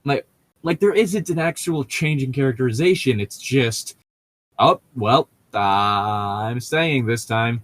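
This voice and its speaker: A man chatting casually as a co-host, critiquing in an exasperated, rambling way with halting rephrasing. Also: mid-range voice, lively intonation, a bit bright. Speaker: co-host reading and mocking a novel. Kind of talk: casual critical chat with read-aloud lines.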